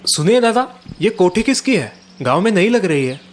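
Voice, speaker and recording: Man voicing a cocky young dealer, lively and high. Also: moderate pace. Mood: curious. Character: young son Ajay, cocky crafty antique dealer. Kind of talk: cartoon story character voice.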